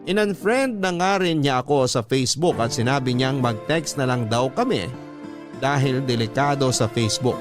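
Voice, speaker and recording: Male Filipino radio drama narrator, mid-pitched, first-person storytelling over a clear music bed. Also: normal speed. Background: background music.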